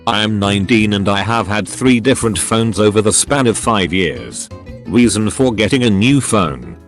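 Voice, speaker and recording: A man's voice: on the low side, lively intonation, normal speed. A man narrating short online stories in a scripted, recited read-aloud style. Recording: music behind the voice. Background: background music.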